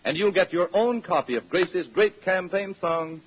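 An American man announcing in polished old-time radio advertising style, with a smooth sales delivery. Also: high voice, moderate pace. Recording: dull old radio broadcast recording.

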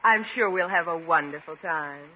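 A woman's voice: low-pitched, moderate pace, breathy edge. An actress playing a gracious, coy single woman being courted in a 1950s radio sitcom, polite and playfully demure. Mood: demure, yielding. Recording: tinny, noisy 1950s radio recording.

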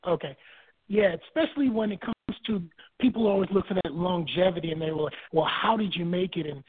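A man's voice: sits high, a little hiss or hum. Man from Barbados on a phone line. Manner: measured, repeats points for emphasis. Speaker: guest author. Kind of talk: phone-in interview. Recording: tinny phone line, dry.

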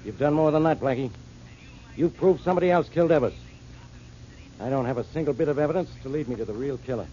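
An actor playing a gruff, impatient police inspector in a 1940s radio drama. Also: male voice, medium pitch, quick pace, long pauses, dark tone, slight background noise, natural voice. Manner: gruff, blustery. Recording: dull 1940s radio recording.

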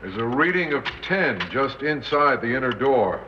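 Man acting serious steady dad in an old 60s radio play, measured and worried, muffled noisy recording. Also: mid-range voice, lightly acted. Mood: tense, focused. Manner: measured, reasoning, grave. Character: anxious, steady husband and father trapped in fallout shelter. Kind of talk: 1960s radio drama acting.

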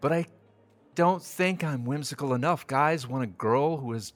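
A man's voice: mid-range voice, moderate pace, lightly acted. An actor playing an earnest, quirky, self-doubting young man anxious about a date in an audio drama. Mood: quirky, flustered.

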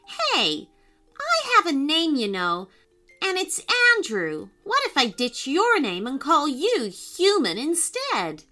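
Lady doing a squeaky scaredy dodo boy from a kids story, touchy, bright n jumpy pitch, music behind. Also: very animated, slow, hardly any pauses, exaggerated voice. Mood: indignant. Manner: nervous, stuttering when scared. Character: timid, touchy male dodo bird.

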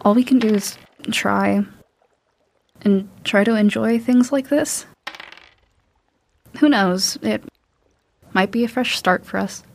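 A woman playing a soft hesitant daughter. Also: mid-range voice, slow pace, creaky, long pauses, slight background noise. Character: teenage daughter, hopeful and gentle. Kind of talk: audio drama acting.

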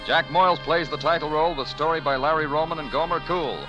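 A man's voice: a little high, fairly even intonation, quick pace, highs cut off. A man announcing in scripted 1940s network radio style. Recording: old radio broadcast with music behind. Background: music.

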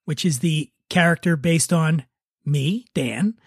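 A man's voice: fairly high pitch, slow, slightly rough, no room sound, studio quality. A man hosting a podcast, talking casually and narrating a script. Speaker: podcast host and writer.

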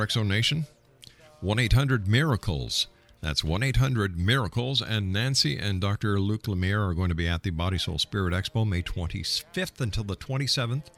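A man hosting a radio talk show, speaking in a deliberate announcer manner as he interviews and gives announcements. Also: on the low side, a bit bright. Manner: deliberate announcer delivery, spelling out contact details.

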